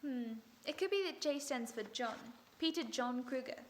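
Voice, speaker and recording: Woman voicing a lady detective, high bright voice, cool and crisp, faint background noise. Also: expressive, moderate pace. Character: sharp, composed woman detective. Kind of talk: old-time radio acting.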